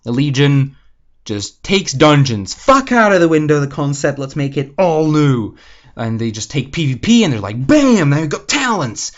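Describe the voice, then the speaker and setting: A man's voice: a little high, big pitch swings, moderate pace, highs cut off. A young man from Slovakia, casually ranting to viewers on his channel, swearing often and rambling candidly. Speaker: gaming channel host.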